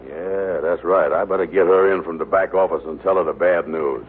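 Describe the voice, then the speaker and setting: An actor playing a pompous, hapless big-talker in an old radio sitcom, blustering in folksy broken grammar and malapropisms. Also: male voice, sits low, fairly even intonation, moderate pace, lightly acted. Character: scheming, hapless, pompous big-talking fiancé, a grown man. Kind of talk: 1940s-50s radio sitcom acting. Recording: noisy, tinny vintage radio broadcast.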